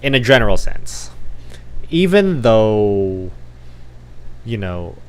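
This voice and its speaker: A man with an American accent giving casual livestream commentary in a halting, slangy way, thinking aloud. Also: lowish voice, very animated, low-quality recording. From born in the Philippines, grew up in the United States. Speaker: streamer reacting to a video.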